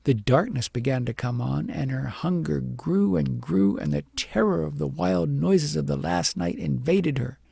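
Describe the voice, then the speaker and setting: A man narrating an audiobook fairy tale expressively, with deliberate, dramatic emphasis. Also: fairly high pitch, gravelly, mellow, breathy edge, no echo, clean studio sound.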